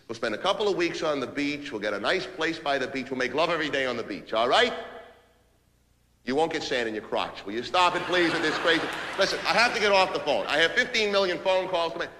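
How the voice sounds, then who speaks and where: Actor as a sharp, abrasive New businessman, echoing hall. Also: fairly high pitch, a little hiss or hum. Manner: impatient, ranting, barking orders and repeating himself. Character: abrasive, frantic New York businessman, bullying and self-important. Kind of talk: theatrical comic monologue as one-sided phone calls. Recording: reverberant hall.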